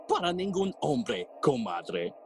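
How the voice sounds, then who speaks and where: An actor playing a jolly, cheeky gravedigger who riddles and teases in a theatrical bilingual Spanish-English Shakespeare audio play. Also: male voice, medium pitch, sing-song, slow pace, fairly bright tone, exaggerated voice. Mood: sly, teasing. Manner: teasing, sighing and chuckling, theatrical.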